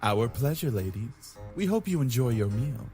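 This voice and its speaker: Male actor doing a smooth-talking gallant young servant, very lively pitch, game music behind. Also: moderate pace, close to an everyday voice. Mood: gallant, flattering. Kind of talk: anime-style visual novel game acting.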